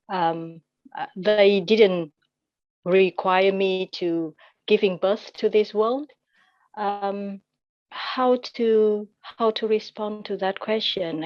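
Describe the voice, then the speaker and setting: A woman's voice: mid-range voice, no room sound. A woman asking a teacher questions on a video call in non-native English, hesitant and searching for words. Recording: dull, dry video-call audio.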